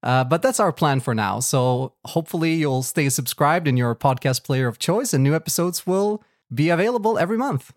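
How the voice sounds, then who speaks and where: A man's voice: a little high, animated, a little fast, hardly any pauses, no room sound. Swedish man hosting, chatty and dry-miked. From Sweden. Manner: chatty, rambling with many fillers and restarts. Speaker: podcast co-host. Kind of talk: casual chat.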